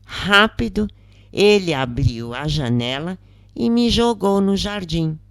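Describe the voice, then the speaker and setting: A woman narrator plays a repentant lady spirit in an audiobook. She confesses frankly and deliberately, at a slow pace, in a dry recording. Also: fairly low pitch, animated, smooth, no echo, pitched above the performer's own voice. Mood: grave recounting.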